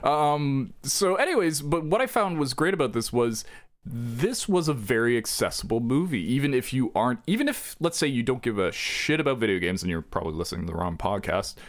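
A man's voice: mid-range voice, big pitch swings, brisk. A man co-hosting a podcast, chatting casually and agreeably, with casual swearing. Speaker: podcast co-host. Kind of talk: casual chat.

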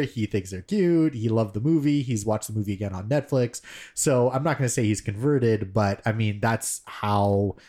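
A man's voice: on the low side, a little mellow. A man co-hosting a podcast, telling stories eagerly with stammered restarts and casual swearing. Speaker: podcast co-host. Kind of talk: casual chat.

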